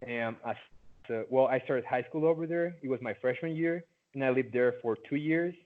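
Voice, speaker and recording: Chatty Mexican teen over a tinny line. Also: male voice, mid-range voice, moderate pace. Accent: Mexican Spanish. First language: Spanish. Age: late teens. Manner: chatty, loose, full of "like" fillers. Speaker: interviewee, former student. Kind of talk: casual interview answers.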